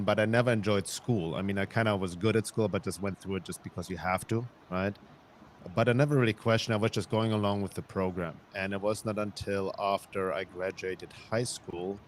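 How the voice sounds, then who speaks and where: Low-voiced man from Germany, reflective storyteller. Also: slight background noise. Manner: reflective, with frequent restarts and light laughs. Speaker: guest. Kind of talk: conversational interview storytelling.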